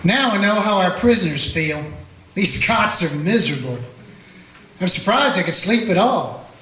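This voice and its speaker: A man's voice: high-pitched, lively intonation, normal speed, sharp tone, a little hiss or hum. A man playing a folksy Western sheriff. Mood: steady. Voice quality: folksy rural grammar. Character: plain-spoken Western small-town sheriff, steady man. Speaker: small-town sheriff Jake, narrating. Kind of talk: amateur radio play acting with narration. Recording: roomy echo.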